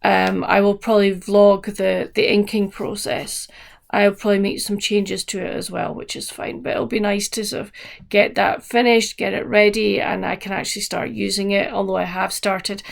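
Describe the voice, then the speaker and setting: A woman chats to camera in a relaxed, wandering way about her week, her voice croaky with a gravelly fry at the ends of her phrases. She talks softly and trails off, sitting at a middle pitch for a woman. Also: normal speed. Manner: chatty, meandering, soft-spoken. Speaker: book vlogger. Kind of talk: casual chat to camera.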